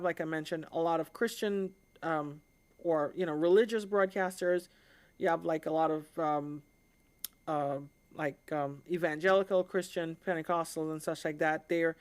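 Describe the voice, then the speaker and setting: A woman's voice: on the low side, smooth. A woman hosting a livestream in a casual, informal, conversational way, often checking in with the listener. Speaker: live stream host, amateur radio hobbyist.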